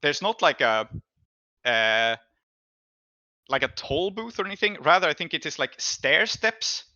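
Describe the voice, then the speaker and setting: Male game master, very bright forceful voice, improvised narration that halts as he thinks, close dry slightly dull mic. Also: fairly high pitch, very animated, unhurried, dry recording. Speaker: game master of a tabletop role-playing show.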